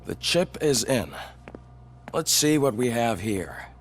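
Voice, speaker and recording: A man's voice: medium pitch, big pitch swings, takes it slowly, slight background noise. An actor playing a breezy male police repair technician in a game cutscene, chatty and jokey. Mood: businesslike. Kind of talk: video game cutscene acting.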